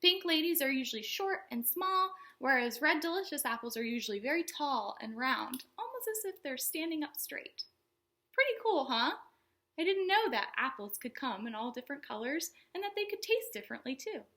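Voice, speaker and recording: A woman hosting a storytime for young children, teaching in simple words with an animated, sing-song manner and questions to her small viewers. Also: high-pitched, normal speed. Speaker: children's librarian, storytime host.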